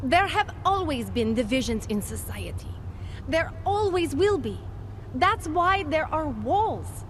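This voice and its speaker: Game role: female company rep, earnest and persuasive, high register, lively swooping intonation, true-believer polish. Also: takes it slowly, a little hiss or hum, exaggerated voice. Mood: defensive, assured. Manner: fervent, polished sales pitch delivery. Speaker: company representative. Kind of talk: video game dialogue acting.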